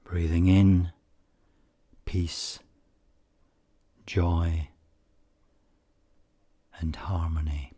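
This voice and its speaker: A man with a very low, husky voice leads a guided meditation. He speaks very slowly and calmly, leaving space between short phrases. The ends of his lines often soften into breath. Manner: calm, measured, pausing often. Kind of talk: slow guided meditation narration.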